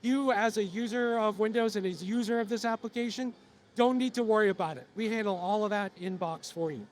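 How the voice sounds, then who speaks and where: High-pitched hoarse man presenting. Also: brisk, slight background noise. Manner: steady explanatory delivery with frequent "uh" fillers and restarts. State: losing his voice, coughing now and then. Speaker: software company presenter. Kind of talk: product presentation to an audience. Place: presentation room at a music trade show.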